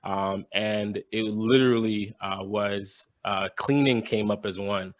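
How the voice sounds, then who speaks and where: A man's voice: fairly low pitch, fairly even intonation, takes it slowly. A young adult man from Jamaica, a podcast guest telling his story casually, with many fillers and restarts.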